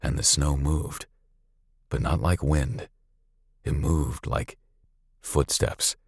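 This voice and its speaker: A man narrating a scripted story dramatically, with a suspenseful, deliberate delivery. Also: rumbling low, fairly even intonation, darkish tone, slightly rough, no echo, studio quality.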